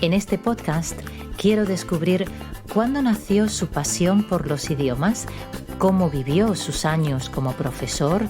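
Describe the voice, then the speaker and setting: A middle-aged woman from Málaga, a Spanish teacher, hosting a podcast and interviewing a guest with encouraging backchannels. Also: sits low. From Spain, Málaga. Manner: warm encouraging interviewer with frequent ujú backchannels. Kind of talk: scripted intro then interview questions.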